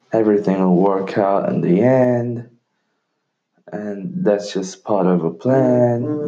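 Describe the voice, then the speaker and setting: A young Brazilian man with a slightly North American-tinged accent, hosting a casual solo podcast in a relaxed, rambling way and swearing casually. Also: on the low side, muffled recording. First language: Portuguese. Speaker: podcast host.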